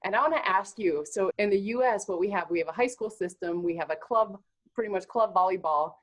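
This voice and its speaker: A woman's voice: mid-range voice, a little fast, poor audio. A woman interviewing a college athlete, enthusiastic and admiring in her questions. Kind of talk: sports interview.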